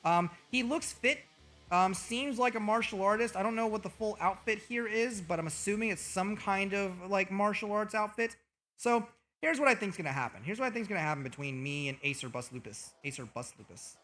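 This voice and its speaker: A man streaming as a VTuber, joking and trash-talking with over-the-top, profane bragging, his voice a little hoarse. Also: high voice, animated, a little fast, bright, forceful tone, soft background music. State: slightly hoarse. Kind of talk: comedic livestream banter.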